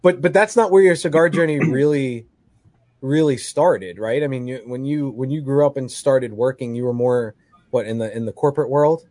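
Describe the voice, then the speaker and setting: A man's voice: mid-range voice, moderate pace, a little mellow, no echo. A man hosting a talk radio show, introducing a guest and asking friendly, leading questions. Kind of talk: radio interview. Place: radio studio.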